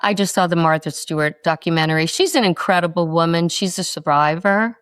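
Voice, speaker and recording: A middle-aged woman from North Carolina, a podcast guest answering interview questions in quick, expressive stories. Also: lowish voice, clear, resonant tone, dry recording, studio quality.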